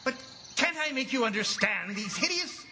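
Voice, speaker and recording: A man's voice: very high-pitched, animated, normal speed, a little hiss or hum, exaggerated voice. A man playing an earnest district commissioner in a radio drama, speaking with emphatic, theatrical gravity. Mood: urgent, alarmed. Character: earnest, alarmed male district commissioner, grave storyteller. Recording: radio drama with loud music underneath.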